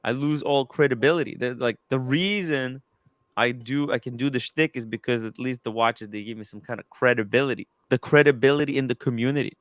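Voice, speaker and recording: A man in his thirties hosting a casual chat about watches, talking excitably with lots of restarts and swearing. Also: mid-range voice, a little fast, no room sound, clean studio sound. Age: 30s. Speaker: host.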